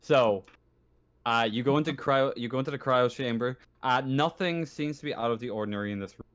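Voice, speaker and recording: A man narrating an improvised tabletop roleplaying game as game master, describing things in a casual, halting way. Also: medium pitch, a bit bright.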